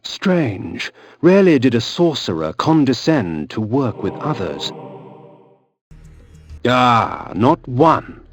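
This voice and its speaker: Brooding vampire noble, game narration, snooty and theatrical, really swoopy intonation. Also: male voice, mid-range voice, no room sound. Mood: sardonic. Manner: theatrical, grandiose, disdainful. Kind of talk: dramatic scripted game narration.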